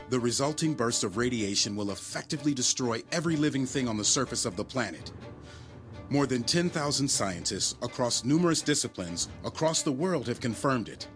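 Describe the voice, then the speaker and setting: An actor playing a cold, controlled agent of a secret network, formal and measured in a drama. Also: male voice, medium pitch, a bit bright, natural voice. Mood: grave.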